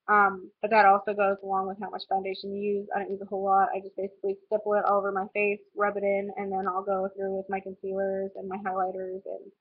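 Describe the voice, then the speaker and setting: A woman's voice: medium pitch, slightly rough. Stuffy-nosed young woman chatting about makeup. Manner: chatty, rambling with many "um" and "like". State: stuffy, nasal from a cold. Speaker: beauty vlogger. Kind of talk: casual makeup tutorial chat. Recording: slightly dull lo-fi home recording.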